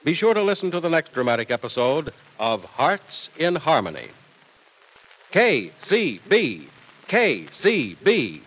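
A man's voice: on the high side, very animated, measured pace, fairly bright tone, slightly rough, rough recording. An American man announcing in a scripted, emphatic, salesmanlike mid-century radio style. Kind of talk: 1940s-50s scripted radio announcing and advertising.

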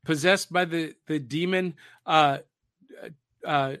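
A man co-hosting a podcast in casual, nostalgic pop-culture chat, often pausing to search for his words. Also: a little high, fairly even intonation, fairly bright tone. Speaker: podcast co-host.

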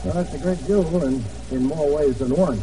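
Actor as a grim hunted sailor, old radio drama. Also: male voice, fairly high pitch, normal speed, dark tone, a little hiss or hum, close to an everyday voice. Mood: wry, uneasy. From United States. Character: hunted American Navy man in hiding, weary and determined. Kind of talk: old radio drama acting, first-person narration and dialogue. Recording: old radio broadcast, distant, music behind.

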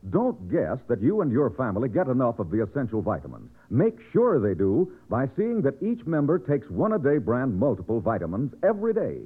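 A man's voice: mid-range voice, wildly swooping pitch, fairly quick, few pauses. An American man announcing on vintage radio with an emphatic, polished sales delivery. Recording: tinny, rough vintage radio broadcast.

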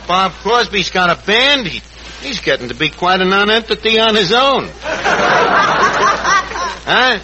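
A man's voice: sits high, lo-fi sound. An actor playing a brash, scheming New York tavern manager who mangles his words pompously in a 1940s radio comedy. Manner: pompous malapropisms and slangy grammar. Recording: noisy old 1940s radio recording.

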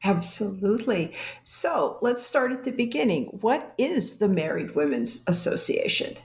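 A woman hosting a history book podcast, introducing and questioning her guest in a measured way. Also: medium pitch, sing-song, creaky. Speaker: podcast host. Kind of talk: podcast interview.

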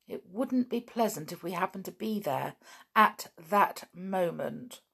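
A woman's voice: on the low side, animated, a little slow, creaky, sharp tone, breathy edge, heavily acted. A woman reading a storybook aloud, voicing a worried middle-aged male scientist who speaks in an anxious, earnest way.

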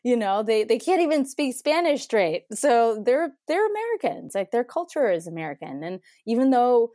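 A woman's voice: a little high, sing-song, moderate pace, dark tone, no room sound, studio quality. A woman in her thirties from Mexico, talking as an interview guest in a chatty way, laughing often and restarting her sentences. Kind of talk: casual conversation.

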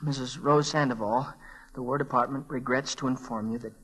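An actor playing a naive, earnest teenage messenger boy with an American accent and halting speech, in old-time radio drama style. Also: mid-range voice, steady tone, fairly bright tone, breathy edge, low-quality recording. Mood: troubled, wistful.

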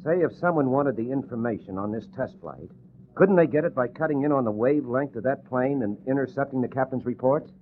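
An actor playing an eager, curious young newspaper reporter with excitable, folksy exclamations in a 1940s radio drama. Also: male voice, medium pitch, brisk, lightly acted. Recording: lo-fi old radio broadcast with hiss.

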